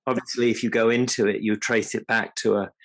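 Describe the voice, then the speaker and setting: A man's voice: fairly low pitch, little pitch movement, a little fast. A man asking a question in a rambling, hesitant way, full of fillers and restarts. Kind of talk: casual discussion.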